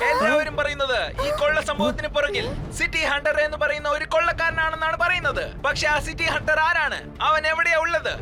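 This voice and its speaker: A man's voice: very high voice, fairly even intonation, brisk, hardly any pauses, heavy background noise. A man voicing a dramatic, sensational TV news reporter in a cartoon, announcing emphatically.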